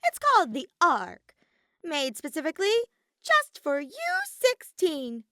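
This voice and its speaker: Cartoon villain bear mascot, bright n sharp, swoops all over, flippant and exasperated. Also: female voice, sits high, huge pitch swings, slow, no echo, clean studio sound, voice pushed up, full character voice. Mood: casual. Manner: matter-of-fact, theatrical, grumbling. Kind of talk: dramatic character reading in an audio fiction.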